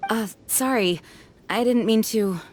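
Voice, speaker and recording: A woman's voice: fairly high pitch, measured pace, darkish tone, slight background noise, lightly acted. A woman voicing an earnest young knight commander, polite and formal, in an anime-style video game. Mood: apologetic.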